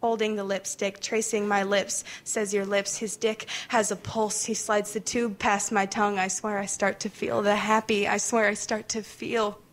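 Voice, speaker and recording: A woman's voice: medium pitch, little pitch movement, moderate pace, hardly any pauses. A woman performing intense, dramatic spoken word poetry.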